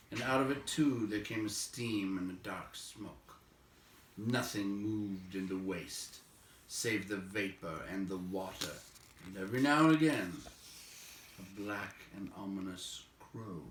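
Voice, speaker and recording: A man narrating a story aloud in a measured, expressive way, letting phrase endings fall away quietly. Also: mid-range voice. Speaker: audiobook reader, narrator. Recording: roomy echo, distant microphone.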